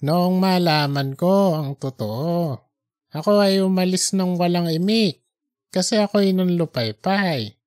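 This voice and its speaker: A narrator voicing a devout, tender father in his eighties, speaking slowly and earnestly in an audiobook story. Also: mid-range voice, a little mellow, natural voice. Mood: solemn, regretful. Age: 80s. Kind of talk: audiobook character dialogue.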